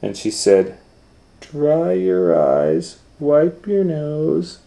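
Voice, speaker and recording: A middle-aged man reading a picture book aloud for children, dropping to whispers and rising to loud excited voices for the story. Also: on the high side, extremely slow, echoey room. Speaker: storytime reader.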